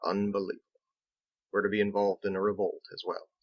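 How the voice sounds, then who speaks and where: A man voicing a measured, thoughtful seafaring captain in an audiobook, with formal, old-fashioned phrasing. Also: fairly low pitch, monotone, muffled recording, lightly acted. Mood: grave, indignant. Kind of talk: audiobook character dialogue.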